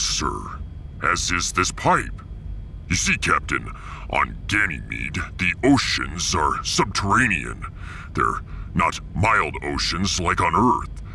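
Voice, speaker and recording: A man's voice: low-pitched, sharp tone, a little hiss or hum, pitched below the performer's own voice, heavily acted. A man voicing a big, proud, solemn alien-world warrior with grandiose, ceremonious speech in a science fiction audiodrama.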